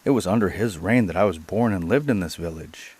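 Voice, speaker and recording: A man's voice: low voice, fairly quick, a little mellow, slightly rough, dry recording. A man voicing an ancient, bewildered mountain woodman in a storybook, humble and formal in manner. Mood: humble, pleading.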